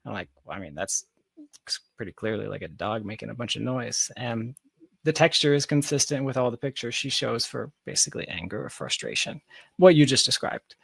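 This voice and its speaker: Male podcast guest, animated casual storyteller, medium pitch, dry close-miked online recording. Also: a little fast, vocal fry, husky, no echo. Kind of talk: casual, anecdotal conversation.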